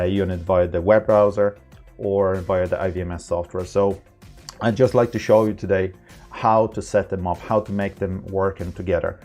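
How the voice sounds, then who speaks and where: A man presenting an informal, unscripted tech tutorial, explaining in a halting way with non-native phrasing. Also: sits low, a little mellow.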